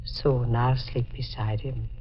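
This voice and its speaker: Haunted, fatalistic woman, low voice, vintage radio melodrama, muffled recording with music behind. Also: little pitch movement, slow pace, background noise. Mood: grim, resigned. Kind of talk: 1940s radio acting.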